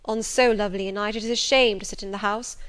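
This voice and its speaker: A woman voicing an imperious, teasing middle-aged gentleman in an audiobook, with formal, pompous and emphatic delivery. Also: mid-range voice, steady tone, fast talker, clean tone, lightly acted. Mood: composed, commanding. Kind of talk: audiobook character dialogue.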